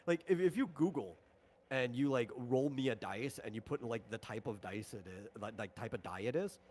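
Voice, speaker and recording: A man's voice: medium pitch, animated, brisk, darkish tone. A man talking casually on a livestream as a tabletop game designer, explaining rules in a rambling, informal way.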